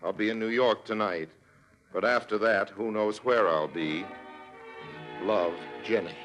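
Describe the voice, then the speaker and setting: A man playing a suave, sardonic con artist in a 1950s radio drama, with wry, theatrical, deliberate phrasing. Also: lowish voice, over music, close to an everyday voice. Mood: indignant.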